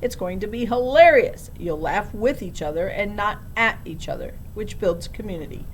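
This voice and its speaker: Gleeful, brisk schoolteacher, as a woman reads her. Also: animated, close to an everyday voice, rough recording. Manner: emphatic, brisk. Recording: low rumbling background noise.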